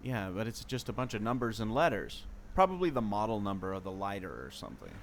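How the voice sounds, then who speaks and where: A man's voice: fairly low pitch, very animated, fast, a little hiss or hum. A man acting an earnest, knowledgeable explorer in a scripted audio adventure, with a theatrical, explanatory delivery. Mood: curious. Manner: expository, theatrical. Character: earnest male explorer, knowledgeable enthusiast of secret lore.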